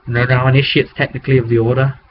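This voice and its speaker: A man talking casually and haltingly as a game master recapping a tabletop game, rambling with conspiratorial asides. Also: mid-range voice, level tone. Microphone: webcam microphone. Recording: muffled webcam mic with background noise.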